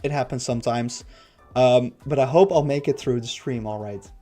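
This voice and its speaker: A man hosting a casual game livestream, raspy and stuffy-nosed, rambling with many fillers. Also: mid-range voice, moderate pace, warm tone, faint music behind. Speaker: livestream host.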